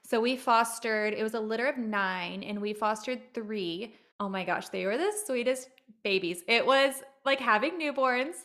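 Woman, 30s, podcast co-host, agreeable storyteller, fairly high voice. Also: normal speed, fairly bright tone. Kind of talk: casual chat.

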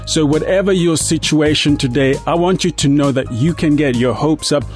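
A man's voice: on the high side, moderate pace, darkish tone, soft background music. A man hosting a business podcast, enthusiastic and full of superlatives. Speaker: podcast host. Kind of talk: upbeat podcast intro and interview.

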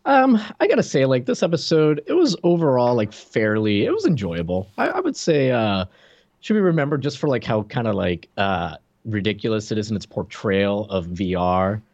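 Animated low-voiced male co-host. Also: normal speed, no room sound. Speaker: podcast co-host. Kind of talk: casual pop-culture review chat. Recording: slightly muffled lo-fi mic, dry.

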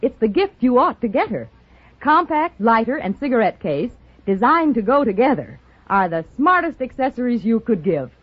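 A woman's voice: on the high side, big pitch swings, moderate pace, husky, no room sound. An American woman voicing an old 1940s radio commercial. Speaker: advertising voice. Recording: dry, dull old radio recording.

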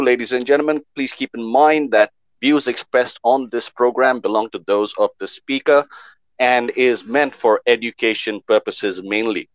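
A man hosting a live online panel show, lively and fond of repeating words for emphasis. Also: mid-range voice, dry recording, poor audio. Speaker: host.

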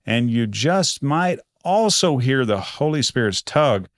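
A man from Texas with an American accent, speaking conversationally in short, deliberate phrases as a podcast host. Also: medium pitch, animated, dry recording, studio quality. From Texas, United States. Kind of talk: podcast introduction and casual interview.

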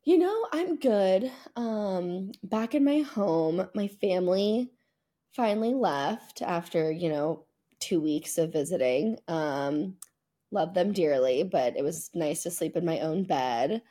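Young american girl cohosting a podcast, kinda low soft-edged mellow voice, slangy and chatty. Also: animated, slow. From United States. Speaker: podcast co-host. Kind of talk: casual chat.

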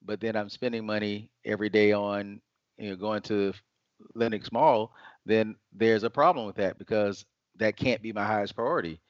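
A man, a financial advisor, giving measured, explanatory answers in an interview. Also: lowish voice, fairly even intonation, no room sound. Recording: dry, dull lo-fi sound.

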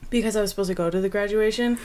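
A woman's voice: medium pitch, steady tone, racing, a little mellow. A woman in her twenties, co-hosting a podcast in casual chat, laughing often and rambling with many restarts. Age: 20s. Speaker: podcast co-host.

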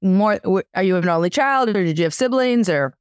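A woman hosts a podcast in a chatty, encouraging way and wanders into side stories. Her voice is smooth and clear at a middle pitch. It is recorded close and dry. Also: lively intonation, brisk, dry recording. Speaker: podcast host. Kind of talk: casual interview chat.

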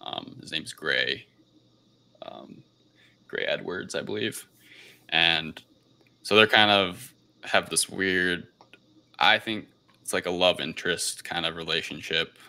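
Male podcast host, very low and creaky, loose hesitant film chat. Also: pushed and bright. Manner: hesitant, unscripted, rambling delivery. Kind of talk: casual podcast film review.

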